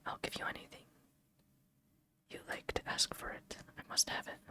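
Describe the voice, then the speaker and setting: A reader whispering the part of a witty, worldly gentleman in an audiobook. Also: normal speed. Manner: soft whisper.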